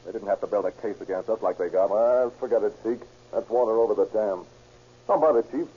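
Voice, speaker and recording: A man's voice: on the low side, fast, dark tone, slight background noise, natural voice. An actor playing a brazen, scheming career robber with slangy tough-guy talk in an old radio crime drama. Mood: brazen, bargaining. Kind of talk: 1940s radio acting. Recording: muffled vintage radio recording.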